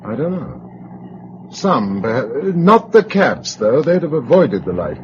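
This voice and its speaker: An actor playing a practical, protective Englishman in a radio drama, brisk, understated and matter-of-fact, with an English accent. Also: mid-range voice, big pitch swings, darkish tone. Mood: grimly matter-of-fact. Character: practical, level-headed Englishman, protective and grimly calm. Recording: dull lo-fi radio recording, faint noise.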